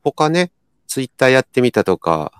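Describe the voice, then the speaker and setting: Animated Kansai-accented man, very dry recording. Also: mid-range voice, unhurried, clear, resonant tone, dry recording. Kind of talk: casual chat.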